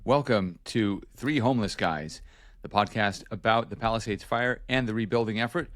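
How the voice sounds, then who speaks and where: A man's voice: lowish voice, moderate pace, fairly bright tone. A man hosting a podcast as a journalist, giving casual news updates in a chatty, self-correcting way.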